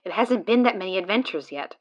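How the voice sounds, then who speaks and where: A woman's voice: medium pitch, lively intonation, quick pace, highs cut off, lightly acted. A woman voicing a sarcastic, exasperated female guide who swears often, in a fan audio drama.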